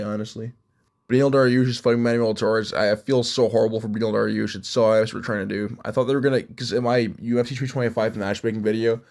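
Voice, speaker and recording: Fast-talking congested young man. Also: fairly low pitch. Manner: rapid, rambling, opinionated. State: congested from allergies. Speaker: MMA YouTube host. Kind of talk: casual fight reaction and predictions.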